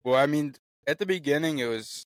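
A man's voice: on the high side, a little fast, dry recording. A man co-hosting a casual podcast from a small bait-shop studio, laid-back and mostly chiming in with short replies. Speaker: podcast co-host.

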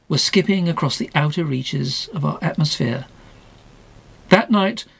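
Casting: male actor as earnest, courteous podcast reporter, highish voice with vocal fry, scripted audio drama. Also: exaggerated voice. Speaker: podcast host.

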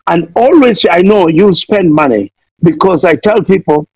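A man's voice: high-pitched, lively intonation, normal speed, a little mellow, no echo. A man from Kenya, preaching fervently as a missionary guest, pleading again and again and playing on words. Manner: impassioned, repeats pleas, wordplay. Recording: dull lo-fi dry sound.

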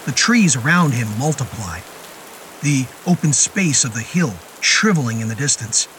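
A man narrating a scripted horror story in a deliberate, literary and descriptive manner. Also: medium pitch, expressive, a bit bright, a little hiss or hum. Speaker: narrator of a horror fiction podcast. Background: music and rain sound effects.

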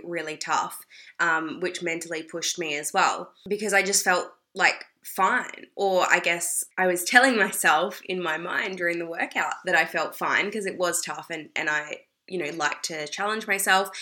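A young adult woman with an Australian accent, slightly hoarse from a cold, chatting loosely to camera, full of "like" and "you know". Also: lowish voice, bright, forceful tone. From South Australia. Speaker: vlogger. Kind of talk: casual talk to camera.

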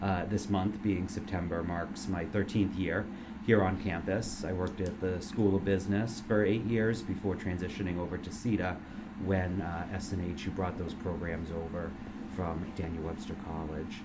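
Very low-voiced middle-aged man, earnest. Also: little pitch movement. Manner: earnest and measured, with filler uhs. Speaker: engineering school dean. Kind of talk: casual interview answers. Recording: noisy room recording.

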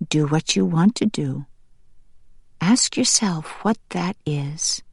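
A woman voicing a gentle, weary, tender mother bird in a soft, half-whispered audiobook voice. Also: sits low, animated, slow pace, breathy edge, dry recording, clean studio sound, exaggerated voice. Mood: gently urging. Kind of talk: audiobook character voice.

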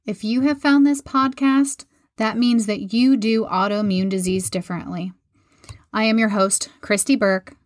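A woman's voice: mid-range voice, a bit bright, dry recording. A woman hosts a podcast with an upbeat, scripted opening, then moves into earnest questions for her guest. Her voice sounds dry and close, with little room sound around it. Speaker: podcast host. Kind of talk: podcast interview.

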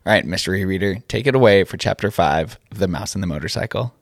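A man's voice: low voice, fast talker, dry recording, clean studio sound. A man speaking as a podcast host, giving scripted announcements.

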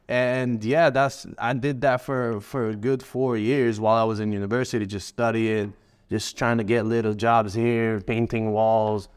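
Relaxed, rambling male guest from Morocco. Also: mid-range voice, clean tone. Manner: relaxed, rambling, frequent fillers and restarts. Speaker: guest, forex trader. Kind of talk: casual interview answers.

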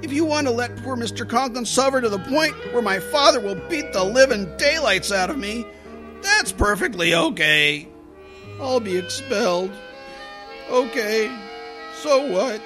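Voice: medium pitch, animated, measured pace, music in the background, lightly acted. An actor playing a scheming, slangy teenage schoolboy in an old-time radio comedy, theatrical and wheedling. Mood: mock-wounded.

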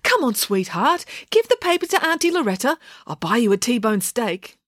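Woman voicing a bright, prim teen girl. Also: fairly low pitch, sing-song, fairly quick, dry recording, clean studio sound. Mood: urgent. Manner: bright, cheerful, a little prim. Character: cheerful, prim, self-assured teenage girl.

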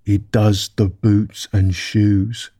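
A man's voice: mid-range voice, normal speed, darkish tone, no room sound, studio quality, natural voice. A man voicing a solemn, impatient griffin in a storybook, speaking brusquely. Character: Griffin, gruff, impatient, solemn male beast.

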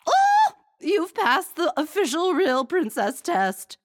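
A woman voicing a bossy, excitable queen in a storybook, squealing high and loud. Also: moderate pace, a little hiss or hum. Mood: gleeful.

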